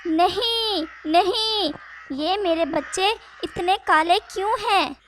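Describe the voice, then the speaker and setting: A woman's voice: very high-pitched, slow pace, a bit bright, slight background noise, exaggerated voice. A woman voicing an emotional, protective mother sparrow in a children's cartoon. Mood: shocked, distraught. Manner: emotional, pleading, emphatic. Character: protective, anguished mother sparrow, tender and defiant. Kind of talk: Hindi moral-story cartoon dubbing.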